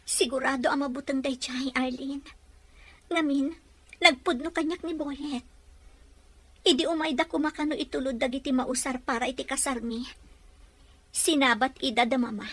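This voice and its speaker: A woman acting a high-voiced, sorrowful young woman. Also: steady tone, moderate pace, long pauses, a bit bright. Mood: grave, hurt. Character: heartbroken young woman leaving home, gentle and resolute. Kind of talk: Ilocano audio drama acting.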